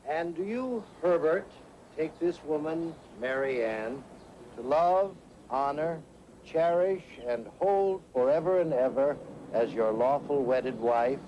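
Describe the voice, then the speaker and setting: An actor playing a solemn, formal wedding officiant in a TV sitcom, measured and ceremonious. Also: male voice, on the high side, animated. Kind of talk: TV sitcom acting. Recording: dull, noisy old soundtrack.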